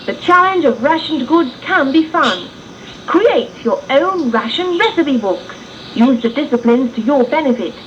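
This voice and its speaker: A woman playing a brisk, patriotic 1940s Ministry broadcaster giving formal, instructive wartime advice in a public information style. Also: high-pitched, lively intonation. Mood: brisk, encouraging. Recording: dull lo-fi 1980s radio tape, faint noise.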